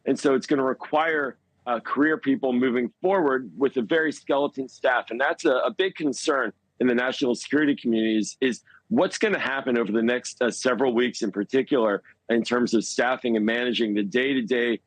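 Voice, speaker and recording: A man giving foreign policy analysis as a news interview guest, speaking in a measured, halting way and stressing key words. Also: medium pitch, dry recording, poor audio.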